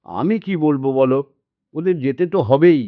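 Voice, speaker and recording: A man's voice: a little high, normal speed, no room sound, phone-quality sound, close to an everyday voice. A man playing an elderly Bengali father in a radio drama, resigned and dryly sardonic. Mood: resigned, irritable. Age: older.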